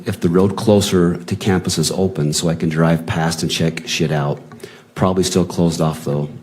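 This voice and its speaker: Man, very low pitch, soft dark tone, plain read-aloud, hearing testimony. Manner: plain, unacted reading with attributions. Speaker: witness reading text messages aloud. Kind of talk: reading written messages aloud, hearing testimony.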